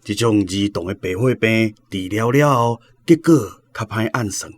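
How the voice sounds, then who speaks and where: A man plays a measured professor of medicine in a Taiwanese Hokkien radio drama. His fairly low voice explains things in a formal, grave way. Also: lightly acted.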